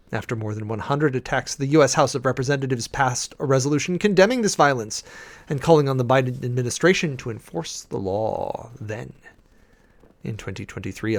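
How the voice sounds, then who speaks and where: A man giving a dramatic, deliberate read-aloud of a formal document, with theatrical emphasis and weighty pauses. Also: mid-range voice. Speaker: preacher, host of a dramatic reading series.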